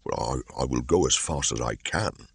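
A man's voice: very deep voice, normal speed, creaky, slightly rough, no room sound, exaggerated voice. A man voicing a fond old male bear in a storybook reading, earnest and a little hesitant. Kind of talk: audiobook character voice.

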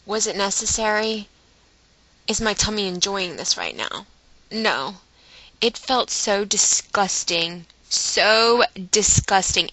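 A woman vlogger telling a personal story to her viewers in a chatty, animated way, with dramatic emphasis and acted-out asides. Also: mid-range voice, no room sound, low-quality recording. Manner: chatty, dramatic emphasis, acting out her own inner dialogue.

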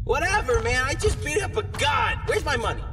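A man voicing a childlike, excitable robot bunny in a squeaky cartoon voice for a game. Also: brisk, soft background music, exaggerated voice. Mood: indignant. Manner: excitable, rambling. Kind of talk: animated game cutscene acting.

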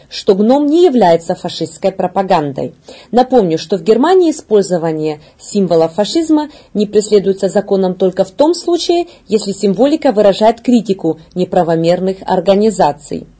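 A female news presenter speaks in a mellow, dark tone with a husky edge. Her pitch sits in the middle for a woman. She reads from a script in a measured, formal way. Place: indoor studio.

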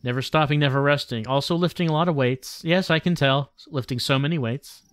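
A man live-streaming a visual novel, reading the text aloud with wry, deadpan asides. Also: a little high, a little fast.

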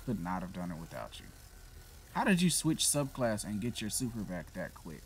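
Deep-voiced, exasperated man swearing over a game. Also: very animated, normal speed. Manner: profane, exasperated, griping. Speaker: gaming video creator playing a shooter. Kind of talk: casual gameplay commentary. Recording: clear game noise behind the voice. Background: shooter game sounds.

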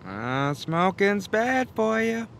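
Slow-talking, surly shopkeeper. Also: male voice, high-pitched, slight background noise, heavily acted. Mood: cagey, deadpan. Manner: terse, deadpan. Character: surly, cagey small-town American shopkeeper. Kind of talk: audio drama acting. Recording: faint outdoor ambience behind.

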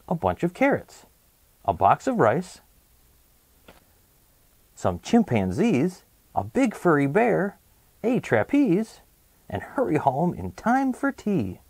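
A man voicing a hurried, muddled young boy on an errand in a children's picture book, reciting in a breezy singsong. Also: fairly high pitch, huge pitch swings, darkish tone, breathy edge, no echo, heavily acted. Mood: hurried, confused.

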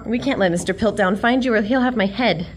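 A woman's voice: mid-range voice, fairly quick, natural voice. A woman playing a plucky, wisecracking young museum worker in a comic radio drama, perky and sassy. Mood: tender, chatty.

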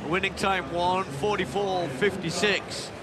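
A man giving live play-by-play athletics commentary, building to shouts as the race unfolds. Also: high-pitched, flat delivery, measured pace, a loud crowd. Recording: broadcast commentary over loud stadium noise.

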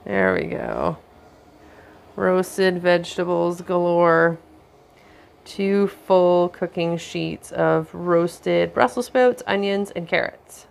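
A woman casually narrating her weekly meal prep in a home kitchen, with relaxed, dry running commentary. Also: fairly low pitch, slow, gravelly. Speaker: home cook vlogger.

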